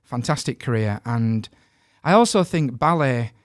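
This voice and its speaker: A man's voice: mid-range voice, animated, unhurried, dry recording, clean studio sound. A man from the north of England with a northern English accent, hosting a podcast in a chatty, self-deprecating way with plenty of ums. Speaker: podcast host. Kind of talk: podcast interview.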